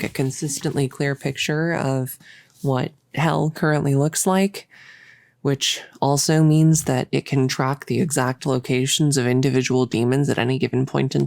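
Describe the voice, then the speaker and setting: A woman's voice: a bass voice, gravelly, darkish tone, no room sound, studio quality. A young woman playing a bossy, giddy, possessive cultist in an intimate roleplay audio, rambling in a casual, smug, playful way. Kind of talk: intimate roleplay audio, improvised-sounding monologue.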